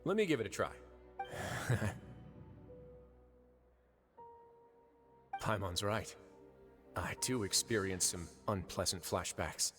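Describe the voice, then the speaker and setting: A man playing a composed, courteous duke with dry, measured manners in an anime video game. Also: deep voice, animated. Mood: calm, dry. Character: composed, courteous, wryly modest male duke in authority. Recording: faint game music behind the voice.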